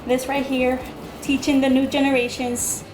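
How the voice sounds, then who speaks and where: Woman from El Salvador, higher voice, heartfelt interview answers over a clear music bed. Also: little pitch movement, moderate pace, low-quality recording. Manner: earnest, emotional reminiscing. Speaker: cooking show contestant.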